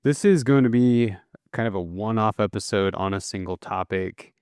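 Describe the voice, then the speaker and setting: A man hosting a solo podcast, explaining at a relaxed pace with casual fillers and self-corrections. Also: fairly low pitch, normal speed, clear, resonant tone, dry recording, studio quality. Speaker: podcast host.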